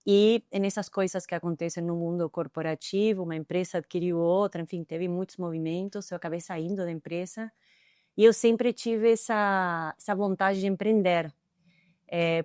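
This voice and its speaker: A middle-aged woman with an Argentine accent, a native Spanish speaker, answering interview questions thoughtfully and often pausing on hesitant fillers. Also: on the low side, fairly even intonation, darkish tone, clean tone, no echo, clean studio sound. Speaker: guest, tech executive.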